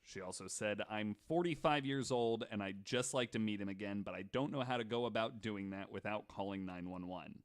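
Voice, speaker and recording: A man's voice: lowish voice, a little fast, hardly any pauses, clean tone. A man hosting a casual podcast, telling stories from his notes in a jokey, conversational way. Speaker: podcast host. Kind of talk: casual storytelling from notes with banter.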